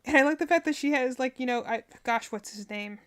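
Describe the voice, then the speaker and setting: A woman's voice: sits high, brisk, smooth. A woman giving casual reaction commentary as a streamer, rambling and often searching for her words. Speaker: streamer reacting to game character trailers.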